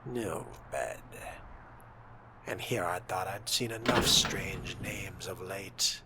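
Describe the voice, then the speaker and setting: A man voicing a newly turned vampire in game roleplay, arrogant, brooding and courtly, musing in a breathy, formal, wry murmur. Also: low voice, slow, heavy background noise. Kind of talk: in-character roleplay gameplay narration. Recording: loud music behind.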